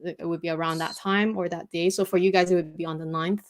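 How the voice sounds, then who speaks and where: A woman's voice: on the low side, steady tone, fast talker. A woman who speaks Cantonese, co-hosting a casual chat and explaining things patiently. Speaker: co-host.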